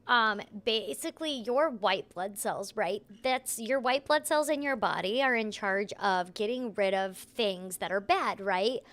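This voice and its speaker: A young woman with a hoarse, soft voice she cannot project, chatting casually while playing a game and rambling through explanations. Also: mid-range voice, no echo. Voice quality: soft, unprojected voice. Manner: rambling, explains with many "like"s and "right?". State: hoarse, losing her voice. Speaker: voice actor streaming gameplay. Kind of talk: casual gameplay chat.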